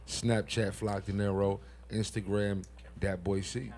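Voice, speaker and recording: A man on a casual group podcast, teasing the others in quick banter. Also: fairly low pitch, flat delivery, a crowd in the background. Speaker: podcast co-host.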